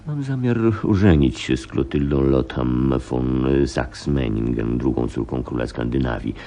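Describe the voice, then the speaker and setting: Króla w słuchowisku, bardzo niski, ciemny głos, dumny, zatroskany, mówi dostojnie. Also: male voice, extremely low pitch, animated, creaky, warm tone, heavily acted. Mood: grave, worried. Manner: formal, measured, stately. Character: anxious, proud middle-aged European king fearing scandal. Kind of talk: radio play acting.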